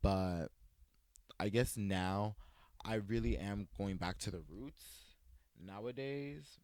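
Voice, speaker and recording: A young man from the States telling personal stories in a casual sit-down chat, animated and playful, quoting himself in a higher voice. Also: slow pace, dry recording. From United States. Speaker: vlogger.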